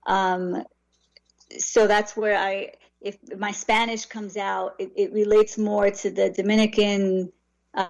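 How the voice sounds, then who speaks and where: A woman in her thirties, from New York, answering interview questions in a relaxed, reflective way. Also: medium pitch, takes it slowly, vocal fry, dull audio. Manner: relaxed, reflective, frequent restarts. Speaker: author and guest.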